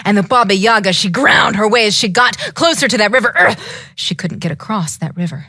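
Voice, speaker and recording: A woman's voice: mid-range voice, animated, a little fast, pushed and bright, dry recording, clean studio sound. A woman telling a fairytale live, in a dramatic, emphatic way, drawing her listeners in as she goes. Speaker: storyteller.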